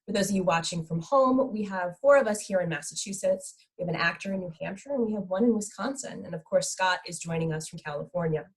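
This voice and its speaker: Female moderator, lowish register, hosting an online talkback. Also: quick pace, hardly any pauses. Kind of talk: hosted panel discussion over video call.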